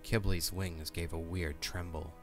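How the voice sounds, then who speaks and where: A male narrator reads a scripted fantasy audio drama. His voice is low in pitch. Faint music runs behind the narration. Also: flat delivery, unhurried.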